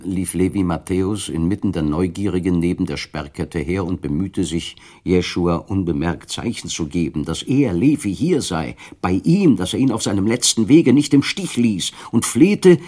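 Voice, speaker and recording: Radio play narrator, man, lowish voice, measured, expressive literary delivery. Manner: measured and expressive, rising with the drama.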